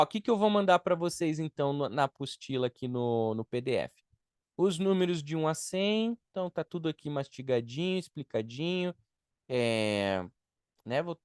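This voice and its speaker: Slow-paced, clear-voiced Brazilian man teaching. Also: mid-range voice, no echo, studio quality. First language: Portuguese. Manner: didactic, step-by-step with repetition and check-in tags. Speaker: English teacher. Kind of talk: online beginner language lesson.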